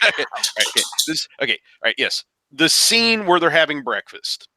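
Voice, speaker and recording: Bright, dry-sounding man telling stories. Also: a little high, big pitch swings, moderate pace, dry recording. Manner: emphatic storytelling, repeats phrases. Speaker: podcast panelist. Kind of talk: casual roundtable film discussion.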